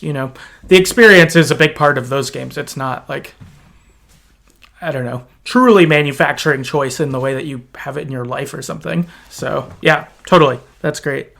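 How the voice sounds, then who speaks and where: A man's voice: fairly high pitch, vocal fry, a bit bright. A man hosting a podcast, talking casually in an agreeable, rambling and readily amused way. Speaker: podcast host. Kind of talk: casual conversational game discussion.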